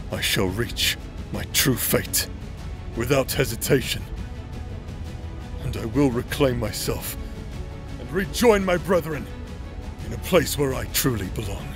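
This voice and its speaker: Cursed fantasy warrior played by a man: lowish, unhurried delivery, solemn and defiant with dry wit. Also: lively intonation, over music, lightly acted. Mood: solemn, resolute. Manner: solemn, wry, deliberate. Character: cursed young male warrior sworn to resist a demon. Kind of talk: fantasy audio roleplay acting.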